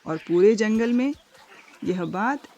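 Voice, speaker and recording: A woman's voice: mid-range voice, expressive, slow, dark tone, dull audio. A woman storyteller reading a scripted moral fable as narration. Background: faint music.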